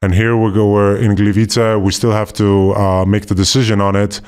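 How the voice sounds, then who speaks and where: A man from Sweden talks casually on a podcast with a fairly low voice. He is upbeat and quick, running through details with plenty of easy fillers. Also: flat delivery, a little mellow, clear, resonant tone. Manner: upbeat, fast-talking explainer. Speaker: podcast co-host.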